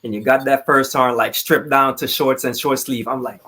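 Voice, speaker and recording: A man chats as a podcast guest, his voice at an average pitch. He tells his stories in a candid, informal way, with casual swearing, like a veteran among friends. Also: steady tone, moderate pace, pushed and bright, a little hiss or hum. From Trinidad. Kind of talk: casual conversational storytelling.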